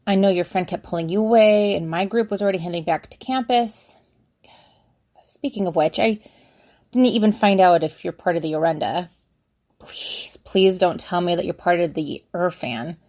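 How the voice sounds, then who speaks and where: A woman's voice: on the low side, normal speed, darkish tone, dry recording, clean studio sound, natural voice. A young woman playing a flustered, chatty witch in an audio drama, rambling awkwardly and correcting herself as she dictates a letter. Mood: shy, chatty, then nervous, rambling. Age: young adult. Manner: rambling, awkward, self-correcting, sighing asides. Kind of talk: audio drama monologue, conversational.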